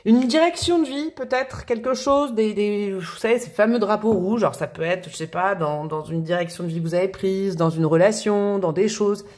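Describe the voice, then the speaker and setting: A woman's voice: medium pitch, normal speed, few pauses. Chatty woman reading tarot. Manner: chatty and expressive, with playful emphasis and laughs. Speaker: tarot reader. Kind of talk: casual tarot reading to camera.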